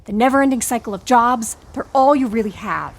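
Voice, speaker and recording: An actress playing a hard-bitten, world-weary secret agent in a comedic spy audio drama, deadpan and terse. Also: female voice, a little high, fairly quick, natural voice. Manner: deadpan, terse, matter-of-fact.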